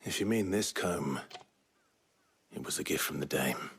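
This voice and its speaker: An actor playing a brooding, courteous young warrior in a dramatic video game cutscene, restrained and grave, with a gravelly low voice. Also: male voice, lively intonation, a little slow, a bit bright, full character voice. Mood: somber.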